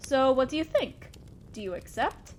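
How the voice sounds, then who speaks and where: A woman's voice: sits high, very animated, normal speed, a little hiss or hum. A woman playing a fair-minded but ambitious demon lord boss in a scripted audio drama, speaking formally and directly to an underling, with a sly teasing streak. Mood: earnest, solemn.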